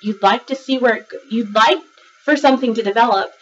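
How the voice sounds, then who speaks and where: A woman giving a rambling, chatty tarot reading to her viewers, a little raspy and congested from a cold, with many fillers and restarts. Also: a little high, brisk, distant mic, dull audio, slight background noise. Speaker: tarot reader. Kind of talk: casual monthly tarot reading to camera.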